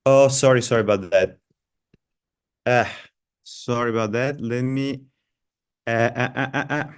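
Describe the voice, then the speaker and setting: A man's voice: mid-range voice, a little slow, smooth. A man hosting an online seminar over a video call, talking in an apologetic, hesitant way, with many filler sounds. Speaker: seminar host.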